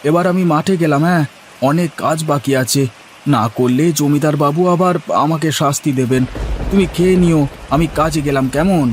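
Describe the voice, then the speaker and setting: A man's voice: fairly high pitch, a little fast, natural voice. A hardworking village farmer acted by a man in a cartoon. He talks earnestly in a raised, theatrical voice, good-natured and plain. Faint noisy ambience runs behind him. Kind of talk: Bengali cartoon dubbing.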